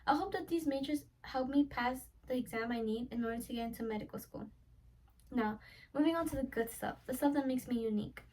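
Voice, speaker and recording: A woman's voice: sits high, brisk, a little mellow. An eighteen-year-old woman, a college student whose first language is Spanish, giving an earnest prepared introduction speech and often wrapping up with "so yeah.". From United States. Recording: voice a little far from the mic.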